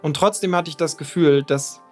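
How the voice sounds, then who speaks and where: Male narrator, thirties, from Lower Saxony, slightly high voice; candid, self-mocking storyteller over faint music. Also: normal speed. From Lower Saxony, Germany. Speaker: podcast narrator. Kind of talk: personal storytelling.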